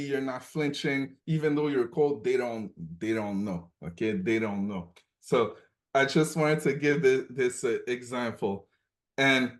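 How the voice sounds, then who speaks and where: A man's voice: medium pitch, darkish tone. Young Cameroonian-born man, mid-range voice, emphatic motivational storyteller who hammers points through repetition. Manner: emphatic, repeats points, frequent "okay?" check-ins. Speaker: coaching call participant. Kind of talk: motivational group call sharing.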